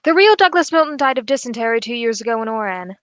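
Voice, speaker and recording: A woman plays a shrewd gentleman detective in an old-style radio drama. The voice is high and recorded close and dry. The delivery is composed and formal, setting out each point in measured phrases. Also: lively intonation, dry recording. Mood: confident, accusing.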